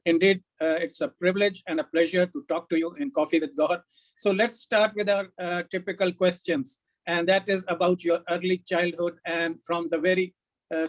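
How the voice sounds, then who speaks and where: A man's voice: a little high, low-quality recording. Formal male talk show host. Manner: formal, ceremonious phrasing. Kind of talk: formal interview hosting.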